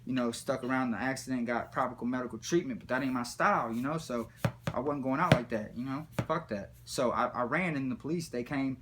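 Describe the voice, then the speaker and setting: A man telling a comic personal story in a rambling, slangy, profane way with animated retelling. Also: medium pitch, a little fast. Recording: background noise, room ambience.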